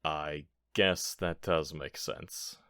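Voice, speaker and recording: A man's voice: rumbling low, steady tone, drawn-out words, fairly bright tone, clear, resonant tone, no room sound, clean studio sound, exaggerated voice. A man playing a nervous, bumbling burglar in an audio roleplay, stammering and bluffing with theatrical emphasis and indignation. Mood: sheepish. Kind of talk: ASMR audio roleplay acting.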